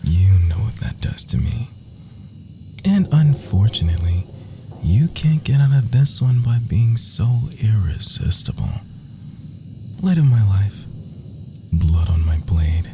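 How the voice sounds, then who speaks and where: A man's voice: lowish voice, animated, slow pace, long pauses, warm tone, faint music behind. A man playing a gleefully sadistic, doting villain lover in an ASMR roleplay, melodramatic and flirtatious, with purred, whispery pet names.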